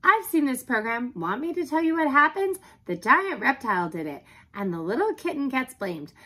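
A chatty female talking dog from a children's storybook, acted out by a woman. The voice is very high and bright, a little husky. It rambles on eagerly and breathlessly, as if it could never stop. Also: big pitch swings, normal speed, heavily acted. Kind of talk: animated children's storybook read-aloud.

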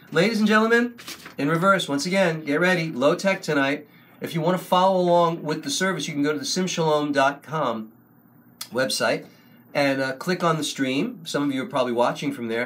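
An older man hosting a casual livestream from a small room, talking slowly into a close webcam, rambling with many ums and restarts. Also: a little high, fairly bright tone. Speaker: online service host and songwriter. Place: small room before a wooden wall, indoors. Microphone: webcam at close range.

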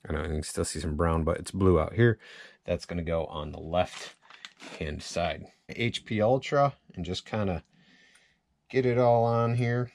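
A man's voice: low voice, unhurried, gravelly, darkish tone, dry recording. A man giving a casual hands-on repair tutorial, thinking aloud informally as he works at a workbench. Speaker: chainsaw repair demonstrator. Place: cluttered indoor workshop at a workbench.

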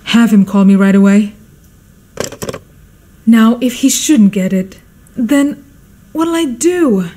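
An actress playing a fussy, imperious, status-hungry society mother in a radio drama, theatrical and exclamatory, with a husky voice. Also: a little high, takes it slowly, long pauses, warm tone, slight background noise. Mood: urgent.

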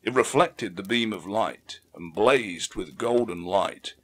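Low-voiced man narrating dramatic fiction. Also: takes it slowly. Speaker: audiobook narrator.

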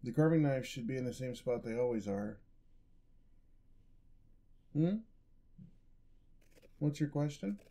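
Guy doing a clumsy sweet dullahan boyfriend for asmr roleplay, mellow and kinda gravelly, sheepish. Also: medium pitch, slow, long pauses. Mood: thoughtful, explaining. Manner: soft-spoken, sheepish, hesitant and affectionate. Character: gentle, clumsy male dullahan boyfriend, centuries old. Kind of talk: ASMR roleplay, intimate acted monologue.

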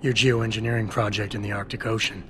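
An actor playing a guarded security chief in his thirties in a video game, clipped, dry and deadpan. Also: fairly low pitch, fast, slight background noise, lightly acted. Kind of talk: video game cutscene acting.

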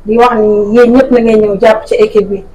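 A woman with a Senegalese accent, a club supporter, urging fans to buy the jerseys in a brisk, enthusiastic way. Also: mid-range voice, little pitch movement, warm tone, a little hiss or hum, rough recording. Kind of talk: promotional appeal.

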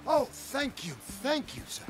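An actor playing a genteel, nervous wildlife photographer in a game, fussy and self-deprecating in manner. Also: male voice, very high-pitched, wildly swooping pitch, slow, a bit bright, soft background music, exaggerated voice. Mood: grateful, flustered. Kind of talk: dramatic game cutscene acting.

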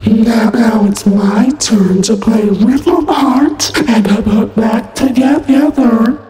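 A woman voicing a menacing, broken animatronic fox for fan-made game lines, stuttering and glitchy, halting and sinisterly sweet. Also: on the high side, dark tone. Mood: sweetly eerie. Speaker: amateur voice actor. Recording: echoey long reverb over music.

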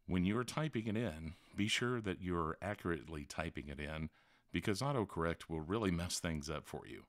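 A man's voice: low voice, expressive, fairly quick, no echo, clean studio sound. A man hosting a tutorial, narrating step-by-step instructions in a voice-over. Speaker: tutorial host. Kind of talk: how-to screen-recording narration.

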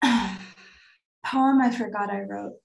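A woman's voice: mid-range voice, drawn-out words, vocal fry. A woman in her thirties, a poet speaking at a reading over a video call from a room at home. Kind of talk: poetry reading with informal remarks. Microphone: computer mic on a video call. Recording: roomy, echoey video-call audio.

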